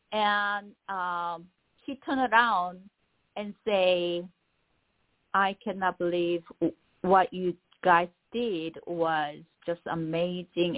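Middle-aged korean-accented woman talking halting and unsure, lots of ums, tinny phone sound. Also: on the low side. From South Korea. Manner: halting, searching for words, many fillers. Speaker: poet guest. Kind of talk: casual radio interview.

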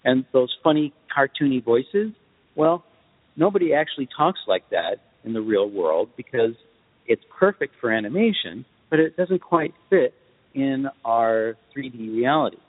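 A man answering interview questions as a guest, speaking in a measured, thoughtful way in short deliberate phrases. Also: mid-range voice, expressive. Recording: muffled phone-like line with reverb tail.

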